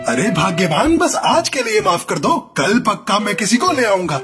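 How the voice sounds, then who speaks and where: High-voiced, scheming merchant crow. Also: male voice, wildly swooping pitch, normal speed, over music. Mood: placating. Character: stingy, scheming male crow, rich merchant husband. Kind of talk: Hindi animated moral story dialogue.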